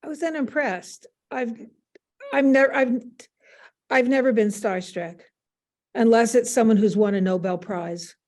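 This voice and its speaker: A woman's voice: a little high, unhurried, darkish tone. An American woman in her seventies, frank and matter-of-fact, reminiscing conversationally as an interview guest on a video call. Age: 70s. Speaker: interview guest, former stage performer.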